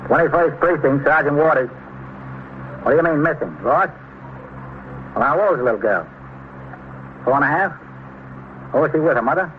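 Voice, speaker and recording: Male actor, police sergeant, American, brisk, insistent, higher voice, old radio drama, tinny recording. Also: lively intonation, close to an everyday voice. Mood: questioning. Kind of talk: 1950s radio drama acting. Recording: thin, phone-like hissy old radio recording.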